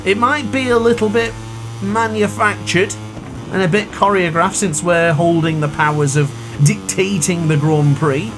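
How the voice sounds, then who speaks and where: A man commentating his own racing game run in a chatty, excitable way, with a sore throat. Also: a little high, low-quality recording. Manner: excitable, chatty, exclaims at crashes. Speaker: gaming commentator. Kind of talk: live gameplay commentary.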